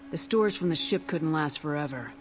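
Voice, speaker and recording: A woman's voice: sits low, animated, normal speed. A woman playing a stern military commander in a video game, firm, probing and controlled. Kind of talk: dramatic video game voice acting. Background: cinematic background music.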